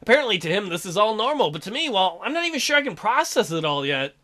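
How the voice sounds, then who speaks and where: A man with a high, bright voice hosts a let's play. He reads story text aloud and cuts in with irreverent, mocking commentary. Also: sing-song, fast talker. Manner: irreverent, crude joking, mocking. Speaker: gaming video host, let's player. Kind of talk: reading visual novel aloud with joking commentary.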